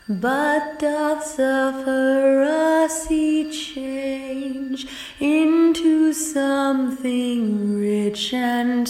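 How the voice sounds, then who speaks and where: Slow, high, mellow spirit woman singing , acted. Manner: drawn-out, lilting, solemn. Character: airy spirit singing a slow mournful sea dirge. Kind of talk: slow song in an audio Shakespeare play. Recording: roomy home recording with faint music.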